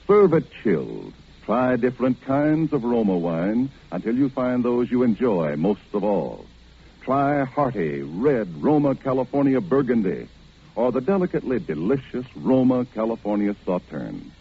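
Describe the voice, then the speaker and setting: A man reading a scripted sponsor message as a 1940s radio announcer, with a husky voice on a dull broadcast recording. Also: mid-range voice, sing-song, moderate pace.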